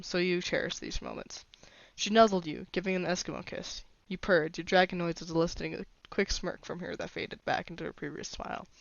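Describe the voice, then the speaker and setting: A woman's voice: lowish voice, creaky, no room sound. A woman reading a fan fiction story aloud as scripted narration, on a slightly dull recording.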